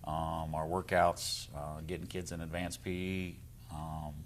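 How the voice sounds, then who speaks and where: Very deep, even-toned American male coach. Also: a little slow, a little hiss or hum. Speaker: boys basketball coach and teacher. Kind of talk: casual interview answers.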